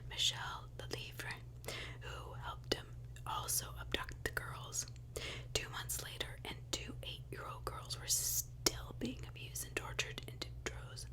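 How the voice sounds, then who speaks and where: Slow, fully whispering woman storyteller. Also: low-quality recording. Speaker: ASMR true crime storyteller. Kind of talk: whispered ASMR true crime narration.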